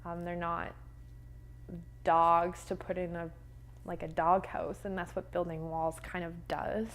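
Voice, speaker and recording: A woman's voice: fairly low pitch, little pitch movement, unhurried, fairly bright tone. A woman from Canada giving earnest, plain-spoken interview answers.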